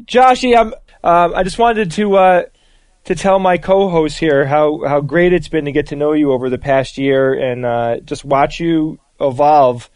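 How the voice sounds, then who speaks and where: Man with a slightly high voice, dry sound. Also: steady tone, measured pace, darkish tone, clear, resonant tone, no room sound. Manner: hesitant, friendly, laughs often. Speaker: podcast co-host. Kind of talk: casual chat.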